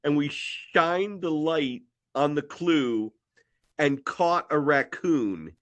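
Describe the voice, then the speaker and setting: Animated, higher-voiced middle-aged man. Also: slow, no echo. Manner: playful, animated storytelling. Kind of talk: casual joking chat.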